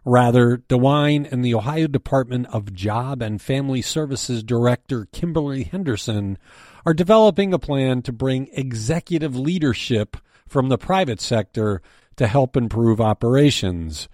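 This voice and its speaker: A man's voice: mid-range voice, moderate pace, darkish tone, no echo, clean studio sound. A man with an American accent reading a scripted news briefing as its host and editor.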